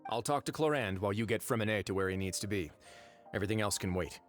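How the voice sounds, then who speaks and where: A man's voice: on the low side, lightly acted. A man playing a composed, drily witty warden in a video game, speaking politely and without hurry. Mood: calm, commanding. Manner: unhurried, wry, polite. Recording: music under the voice.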